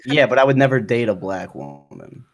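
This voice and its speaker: A man's voice: mid-range voice, very animated, normal speed, vocal fry. A man co-hosting a comedy podcast, teasing in a sardonic, crude manner. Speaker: podcast co-host.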